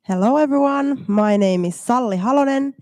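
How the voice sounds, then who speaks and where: A woman's voice: fairly high pitch, moderate pace, darkish tone, dry recording. A woman in her twenties, a student panelist who speaks enthusiastically. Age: 20s. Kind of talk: panel discussion.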